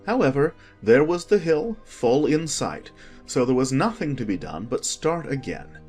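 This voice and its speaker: A man narrating a children's story as an expressive, scripted audiobook reading. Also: medium pitch, a little slow, faint music behind.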